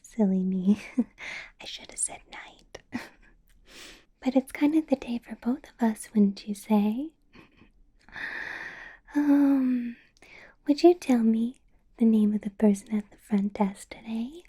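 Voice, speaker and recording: Vampire boss, female, ASMR roleplay: very mellow, airy whisper, slightly high, amused menace. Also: slow, long pauses. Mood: silkily menacing. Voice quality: soft whispering, breathy. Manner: sweetly possessive, amused with an undercurrent of threat.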